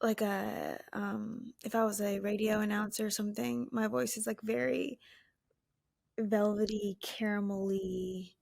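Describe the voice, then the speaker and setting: Soft-spoken woman in her late twenties. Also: medium pitch, steady tone, unhurried, creaky, no room sound, clean studio sound. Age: late 20s. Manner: soft-spoken, tired, giggly. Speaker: podcast co-host. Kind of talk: casual chat.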